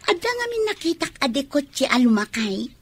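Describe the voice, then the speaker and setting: A woman's voice: high voice, sing-song, moderate pace. A woman acting in a radio drama as a brazen, scheming young gold-digger, sly and theatrical.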